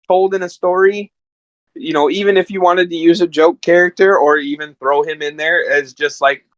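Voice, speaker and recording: A man's voice: a little high, fairly even intonation, moderate pace, a bit bright, no room sound. A man chatting casually as a podcast guest, telling stories in a rambling, enthusiastic way. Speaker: podcast guest and amateur voice actor. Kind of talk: casual chat.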